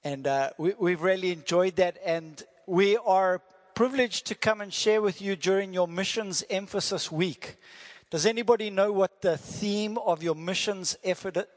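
A man's voice: high voice, moderate pace. A South African man preaching as a visiting missionary, friendly and joking, asking the congregation questions. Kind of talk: sermon with prayer. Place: church hall. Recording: church hall reverb.

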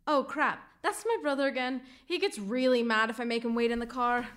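Excitable, high-voiced gossipy teen girl, played by an actress. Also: brisk, music in the background, natural voice. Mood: excited, alarmed. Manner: breathless gossip, emphatic, slangy. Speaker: actor in a radio drama. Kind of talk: radio drama acting, casual teen slang. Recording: clear background noise.

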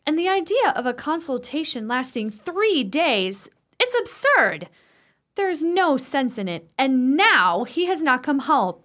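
A woman plays an anxious sister in an old-fashioned radio ghost story. Her voice sits high and moves dramatically up and down. She is suspicious and insistent. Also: sing-song, a little slow, fairly bright tone, heavily acted. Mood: indignant. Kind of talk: radio play acting.

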